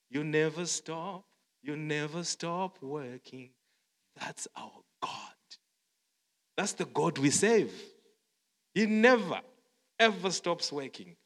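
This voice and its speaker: A man's voice: on the high side, takes it slowly, a bit bright. A man from Zimbabwe preaching a sermon, repeating phrases, quoting songs and restarting his words often. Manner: repetitive, song-quoting, stammering restarts. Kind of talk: sermon with testimony. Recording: long hall reverb.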